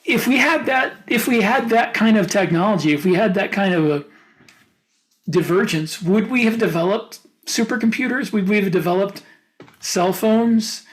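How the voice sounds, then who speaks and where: A man's voice: sits high, normal speed. A man co-hosting a podcast, talking casually with others about science fiction. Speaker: podcast co-host.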